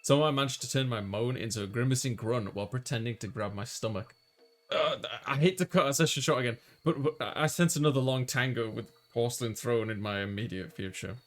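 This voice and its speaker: A man's voice: medium pitch, fairly quick, soft background music, close to an everyday voice. Man playing a sheepish young college guy. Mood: flustered, sheepish. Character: flustered young male college student, narrating his story.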